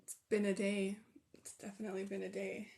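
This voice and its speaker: A hoarse, tired-sounding woman hosting a casual livestream, rambling and thinking aloud as she sets up. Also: medium pitch, monotone, fairly quick, noisy background. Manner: rambling, self-deprecating, thinks aloud while sorting pieces. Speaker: livestream host. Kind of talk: casual livestream chat while setting up a build.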